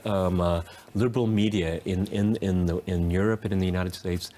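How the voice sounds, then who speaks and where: A man hosting a political panel discussion, speaking haltingly and repeating his words as he goes. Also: low voice, fairly even intonation, mellow. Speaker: talk show host.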